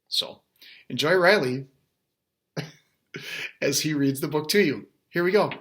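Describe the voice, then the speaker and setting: A man's voice: on the high side, unhurried, a bit bright. A man, a father co-hosting a children's read-aloud, chatting casually with joking, teasing and mock-dramatic interjections. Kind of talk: casual playful chat and interjections.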